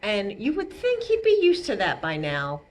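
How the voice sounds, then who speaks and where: A woman's voice: mid-range voice, huge pitch swings, moderate pace. A woman reading a picture book aloud to young children as a storytime librarian, expressively, with simple asides explaining words and pictures.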